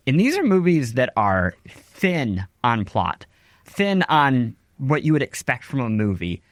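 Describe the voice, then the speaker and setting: A male podcast host speaks in a conversational interviewing style. His pitch sits in the middle and moves around a lot, while his phrasing is articulate and measured. The recording is dry, with little room sound. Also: fairly bright tone, no echo.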